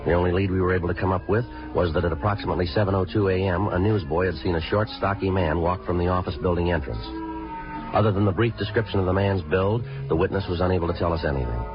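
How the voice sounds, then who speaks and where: Actor as deep, rapid-fire deadpan police detective. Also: male voice, music in the background, close to an everyday voice. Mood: flat, factual. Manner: clipped, deadpan, matter-of-fact. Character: terse, businesslike police detective, narrating his own case. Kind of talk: 1950s radio police procedural acting and narration. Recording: muffled old radio broadcast recording.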